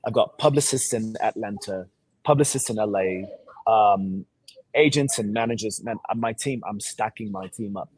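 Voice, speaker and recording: A man's voice: lowish voice, normal speed, slightly rough, no echo, low-quality recording. A man from Sierra Leone who grew up in Seattle, speaking with a put-on British accent as an actor being interviewed, confident, emphatic and boastful in slangy talk. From born in Sierra Leone, grew up in Seattle. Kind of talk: animated self-promotional interview talk.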